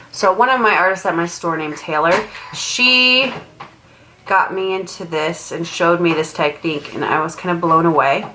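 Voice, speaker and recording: A woman's voice: mid-range voice, background noise. A young woman and makeup artist who sounds nasal from a cold, chatting casually at home as she does her makeup, full of "like" fillers. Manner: rambling and casual, lots of "like" fillers. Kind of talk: chatty get-ready-with-me talk while doing makeup.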